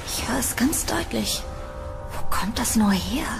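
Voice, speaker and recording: A woman playing a curious, uneasy young tenant in a horror audio drama, with expressive delivery. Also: a little high, measured pace, creaky, exaggerated voice. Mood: curious, wary. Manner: expressive, talking to herself. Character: curious, uneasy young female tenant exploring a creepy house. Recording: music behind the voice.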